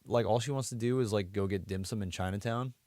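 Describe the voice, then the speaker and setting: A man's voice: fairly low pitch, fast, a little mellow, no room sound. An American man, around his thirties, chatting casually as a podcast co-host and rambling as he trails off mid-thought. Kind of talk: casual chat.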